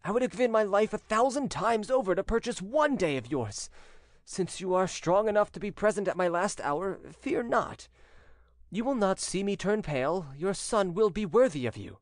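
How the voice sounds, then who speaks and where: A young condemned son, played by a male narrator, speaks with tender, resolute feeling. The delivery is earnest and entreating, pitched up and very animated, in a dry dramatic audiobook recording. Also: normal speed, no echo. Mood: brave, touched. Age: young adult. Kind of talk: dramatic audiobook character reading.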